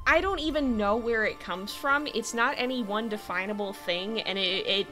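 Woman livestreamer, bright and chatty, mixed American accent, giggles between lines, quiet music bed. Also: mid-range voice, normal speed. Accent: mixed American, slightly British-tinged. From California. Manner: chatty, laughs often, talks to her game and chat. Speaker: streamer. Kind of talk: casual game livestream chat.

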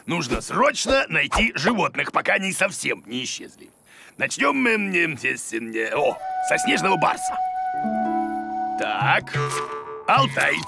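A man voicing an excitable, grumbly, bumbling cartoon creature who guides children, theatrical and full of hesitations and exclamations. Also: fairly high pitch, a little slow, gravelly, faint music behind, heavily acted. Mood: excited, eager. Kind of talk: children's educational cartoon acting.

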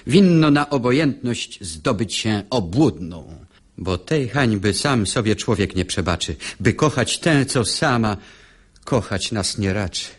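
Proud, animated young lover. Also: male voice, fairly low pitch. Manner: declamatory, lofty rhymed verse. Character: proud, wounded young male lover, touchy and melodramatic. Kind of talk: classical verse comedy radio play.

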